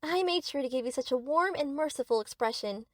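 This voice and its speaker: A woman's voice: sits high, lively intonation, fairly quick, fairly bright tone, no echo, clean studio sound. A woman playing a young yandere best friend in a scripted roleplay, doting on the listener with cheerful, possessive obsession. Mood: adoring.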